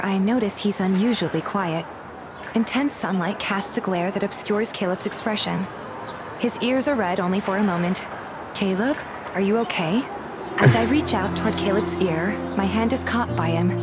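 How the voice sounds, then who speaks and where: A woman's voice: mid-range voice, natural voice. A woman playing a playful, bashful young heroine, reading scripted first-person romance narration and dialogue. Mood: fond, concerned. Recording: loud background music.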